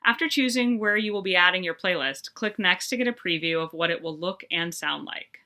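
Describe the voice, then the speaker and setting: A woman presenting a scripted tutorial in a clear, upbeat, instructional manner. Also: on the low side, a little fast, bright, forceful tone.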